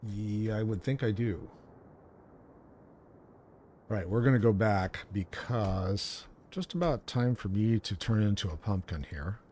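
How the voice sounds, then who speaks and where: A man's voice: lowish voice, measured pace, darkish tone. A man doing casual livestream commentary as he plays, rambling and musing aloud in an informal way. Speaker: game streamer, host. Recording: livestream mic with game noise behind.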